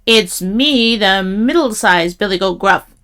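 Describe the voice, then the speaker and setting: A woman's voice: mid-range voice, animated, takes it slowly, fairly bright tone. A woman voicing an anxious middle-sized male goat from a storybook, hesitant and pleading. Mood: meek.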